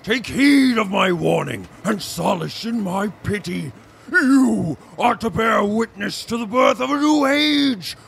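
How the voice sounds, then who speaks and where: An actor playing a pompous, deluded admiral cultist with a stentorian, theatrical way of speaking, in a comedy audio drama. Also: male voice, high-pitched, gravelly, a bit bright, breathy edge, heavily acted. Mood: grandiose.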